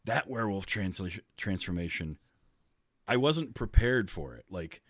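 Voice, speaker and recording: A man's voice: low voice, animated, normal speed, fairly bright tone, no room sound, studio quality. A man talking casually about films as a podcast host. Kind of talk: casual movie discussion.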